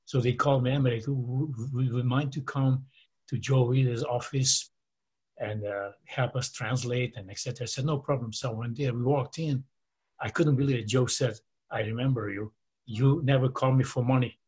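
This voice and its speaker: A man's voice: mid-range voice, fairly even intonation, normal speed, darkish tone, slightly rough. An older man from Romania with a Romanian accent, telling long rambling stories with many fillers and quoting others. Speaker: interview guest. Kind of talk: casual storytelling.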